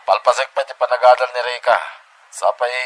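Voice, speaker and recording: A man's voice: mid-range voice, fairly even intonation, brisk, no room sound, close to an everyday voice, low-quality recording. A man playing a loving, hardworking husband and father in an audio drama, earnest and affectionate. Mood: earnest, resigned.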